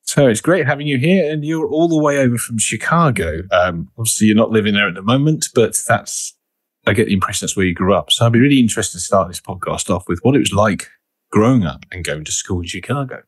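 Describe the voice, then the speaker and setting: A man's voice: on the low side, lively intonation, fast, breathy edge, dry recording. A man hosting a podcast, asking friendly, chatty questions in a casual interview. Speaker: podcast host.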